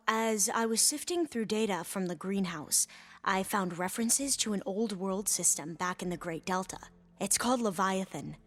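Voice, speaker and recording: An actress playing a nervous, earnest young woman scholar in a video game, speaking haltingly in formal, learned wording. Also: medium pitch, close to an everyday voice. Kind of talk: video game cutscene dialogue.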